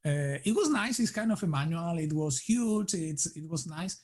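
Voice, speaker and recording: A man with an Argentine Spanish accent speaks English as a second language, with Spanish as his first. His voice sits a little high for a man, and he talks in a relaxed, halting way, full of fillers and restarts. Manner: halting, many restarts and fillers, laughs lightly. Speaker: guest. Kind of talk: casual interview answers.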